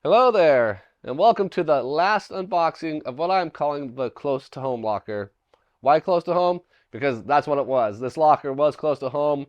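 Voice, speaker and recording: A middle-aged man with a hoarse voice after a cold, hosting a casual unboxing vlog and rambling aloud as he digs through a storage locker, recorded on a chest-mounted camera in a dim indoor room. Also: on the high side, expressive, normal speed, no echo, highs cut off. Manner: rambling, thinking aloud while digging through items. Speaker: storage locker reseller, channel host.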